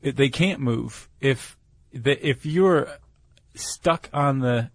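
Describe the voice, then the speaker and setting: A man's voice: mid-range voice, drawn-out words, dry recording, studio quality. A man with an American accent chatting casually as a podcast co-host, explaining things with wry, joking asides.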